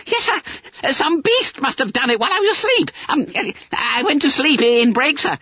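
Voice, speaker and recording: A man's voice: very high voice, expressive, normal speed, highs cut off, voice pushed up. A man voicing a fat, bumbling, indignant schoolboy who stammers and splutters in a story reading. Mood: whiny excuses.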